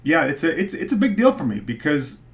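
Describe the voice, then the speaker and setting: A man in his forties with a stutter, talking casually to camera in a halting way, searching for his words. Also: on the high side, big pitch swings. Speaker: YouTube host, stock investor. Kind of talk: casual vlog talk to camera. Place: small home office, indoors.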